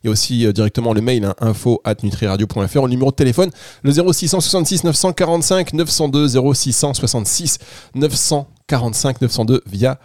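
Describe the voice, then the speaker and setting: A man's voice: medium pitch, fairly even intonation, fast, a little mellow, dry recording, clean studio sound. A man hosting a radio call-in show, joking and laughing often. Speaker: radio host.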